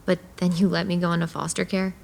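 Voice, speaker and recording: Woman as a guarded young heroine, creaky voice. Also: fairly low pitch, level tone, fast. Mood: guarded, questioning. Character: guarded, wry young woman who sees monsters. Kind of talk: audio drama acting with first-person narration.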